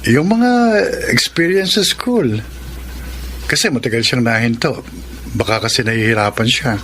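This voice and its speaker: Aktor bilang seloso at mausisang tiyuhin. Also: male voice, medium pitch, expressive, moderate pace, a bit bright, close to an everyday voice. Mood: casual probing. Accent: Filipino. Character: jealous, probing uncle in love with heroine. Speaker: radio drama actor. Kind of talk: Filipino radio romance drama acting. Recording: faint noise on radio drama track.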